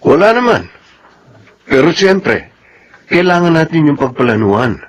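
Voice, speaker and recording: Insistent Filipino boyfriend, earnest, animated, slightly high male voice, radio drama. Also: measured pace.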